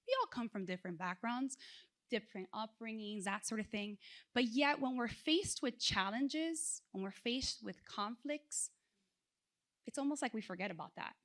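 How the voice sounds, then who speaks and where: Woman conference speaker from South Florida, lively, engaging, rather high voice. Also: moderate pace. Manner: animated, engages audience with questions. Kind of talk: motivational keynote talk.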